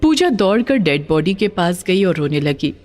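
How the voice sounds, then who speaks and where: A woman narrating a scripted dramatic story in an expressive, emphatic way. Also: fairly low pitch, normal speed, soft background music.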